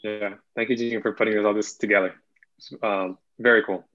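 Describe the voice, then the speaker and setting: A man's voice: on the low side, level tone, normal speed, fairly bright tone. A man, an actor, chatting casually over a video call with lo-fi sound. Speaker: actor, narrator at a table read. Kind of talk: casual chat. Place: indoor room, video call. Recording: dull lo-fi video call audio.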